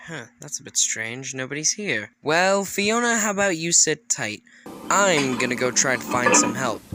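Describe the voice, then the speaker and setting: A man's voice: a little high, sing-song, moderate pace, very noisy. A man voicing an exasperated, sarcastic talking train engine. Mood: sardonic. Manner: sarcastic, hesitant, sweary. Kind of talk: informal storytelling and acting in fan animation. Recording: loud music behind.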